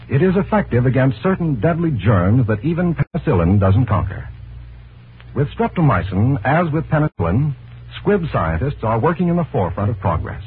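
A man's voice: mid-range voice, husky. An American man working as a 1940s radio announcer, reading a script in a formal, polished way. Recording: tinny 1940s radio broadcast.

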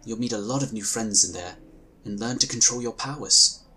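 An actor playing a courteous, reassuring inquisitor of a magical order in a sci-fi audio drama, speaking in formal, measured, polite phrases. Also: male voice, lowish voice, pushed and bright, a little hiss or hum. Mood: gentle, welcoming.

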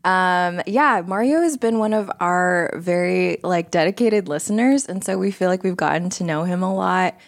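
Lady hosting a podcast panel, kinda low creaky voice, really dry sound. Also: normal speed, few pauses, no echo. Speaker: podcast host.

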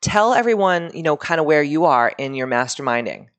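A woman's voice: deep voice, animated, brisk. A woman hosting a podcast in casual chat, chatty and blunt, swearing freely. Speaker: podcast host and mastermind leader.

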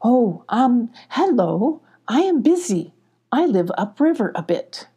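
A woman's voice: on the high side, sing-song, slow pace, warm tone, slightly rough, pitched above the performer's own voice, exaggerated voice. A woman voicing a polite, apologetic, hesitant male beaver neighbor in a children's storybook. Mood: timid, apologetic. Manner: hesitant, courteous.

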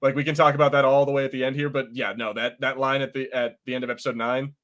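A man's voice: medium pitch, little pitch movement, quick pace, fairly bright tone. A man hosting a podcast with casual, jokey banter and reviews, often crude and sweary. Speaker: podcast host.

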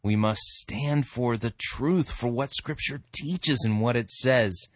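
Slow-talking man hosting radio. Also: medium pitch, no echo, clean studio sound. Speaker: radio show host. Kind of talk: informal radio commentary on sermons.

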